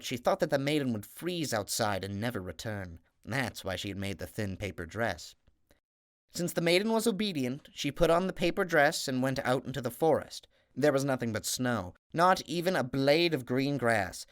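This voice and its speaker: Brief: male storyteller, steady fairy tale narration, slowing down for effect. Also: medium pitch, no echo, studio quality. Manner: steady storytelling, slows for emphasis.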